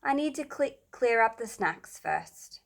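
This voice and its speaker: Storybook boy club leader read by a woman: grumpy, huffy, bossy, slightly raised pitch. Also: expressive, measured pace, vocal fry. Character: grumpy, officious schoolboy leading a secret club.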